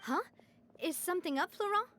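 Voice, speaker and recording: A woman's voice: very high-pitched, expressive, brisk, fairly bright tone, slight background noise. A woman playing a kind, cheerful young female leader in a video game. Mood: alarmed.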